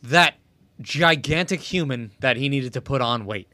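Bright-voiced man in casual chat. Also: medium pitch, no echo. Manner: animated, swears freely, fast asides.

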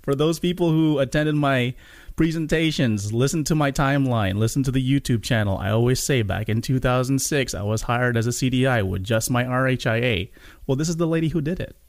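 A man's voice: mid-range voice, a little fast, dry recording, studio quality. A man speaking as a podcast host, introducing the show and chatting with his guest. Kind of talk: podcast intro and interview chat.

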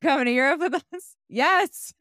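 A young woman hosting a casual podcast chat, swearing freely, excitable and quick to apologize. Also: high voice, no echo, clean studio sound. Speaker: podcast host presenting research.

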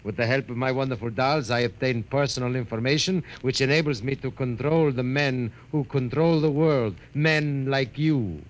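An actor playing a suave, sinister doll-maker and blackmailer in an old-time radio drama, speaking in a silky, menacing, theatrical way. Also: male voice, medium pitch, moderate pace, hardly any pauses, close to an everyday voice. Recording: old radio recording with faint hiss.

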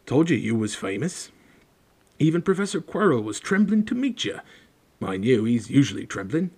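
Guy playing massive friendly rustic bloke, blunt and hearty, swingy pitch, audiobook. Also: big pitch swings, normal speed, darkish tone, slightly rough. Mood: chatty, fond. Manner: blunt, folksy, dropped words and colloquial grammar. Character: huge, hearty, good-natured rustic giant of a man.

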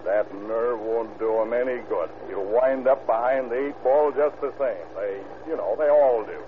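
An actor playing a gruff, excitable, slangy policeman in an old-time radio drama. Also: mid-range voice, moderate pace, close to an everyday voice. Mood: sceptical. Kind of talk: 1930s-40s radio drama acting. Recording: tinny, noisy old radio recording.

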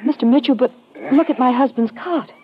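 A woman's voice: high-pitched, brisk, close to an everyday voice. A woman playing a doctor's genteel, anxious wife in a 1950s radio drama, polite and theatrically hesitant. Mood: alarmed, bewildered. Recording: muffled old radio recording, faint noise.

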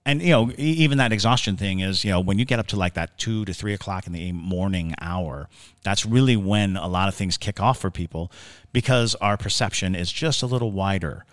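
A man co-hosting a podcast, explaining things casually in a measured, reflective way. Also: sits low, no room sound, clean studio sound. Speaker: podcast co-host.

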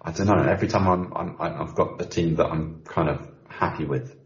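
A man's voice: low voice, brisk. A man co-hosting a podcast in casual chat, joking around with plenty of fillers. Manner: relaxed, joking, trailing fillers. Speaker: podcast co-host. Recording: echoey dull lo-fi.

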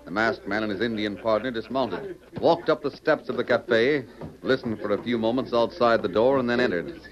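A man narrating an old-time radio western adventure in a clear, dramatic announcer's manner. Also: mid-range voice, normal speed, a crowd in the background, close to an everyday voice. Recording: muffled vintage radio recording with music.

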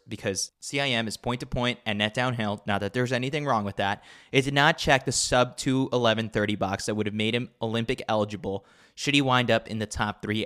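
Clear-voiced man reading wry sports news. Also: medium pitch, pushed and bright. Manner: wry, tongue-in-cheek. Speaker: podcast reader of a sports newsletter. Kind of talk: scripted narration with wry commentary.

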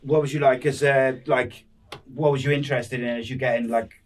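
A man's voice: mid-range voice, fairly even intonation, fairly quick, distant mic. A man hosting a podcast interview in an informal, matey, loosely phrased way. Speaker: podcast host. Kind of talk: casual interview chat.

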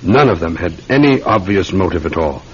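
An actor playing a polite, methodical London police detective with a British accent, deferential and musing in an old-time radio drama. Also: male voice, sits low, lively intonation, fairly quick, husky. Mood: polite, thoughtful. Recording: dull, muffled classic radio recording.

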